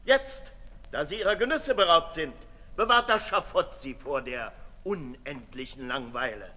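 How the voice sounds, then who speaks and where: A man's voice: a little high, a little slow, bright, forceful tone. An actor playing a disdainful aristocratic male philosopher, sardonic and declamatory, in a 1960s radio drama. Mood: scornful. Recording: 1965 radio play with long reverb.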